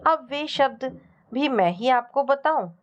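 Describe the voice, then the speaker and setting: A woman's voice: high-pitched, animated, slow, voice pushed up. A woman narrator voicing a helpful, playfully blunt young college woman in a family story. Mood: teasing.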